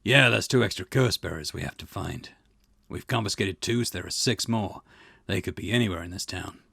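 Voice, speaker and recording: Give the part of a seasoned police boss in a visual novel to a man acting it out. He is low and husky, brusque and wry. His lines come measured and matter-of-fact, with a gravelly rasp. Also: bright, forceful tone, no room sound, clean studio sound, full character voice. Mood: grim, measured. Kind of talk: reading visual novel dialogue in character.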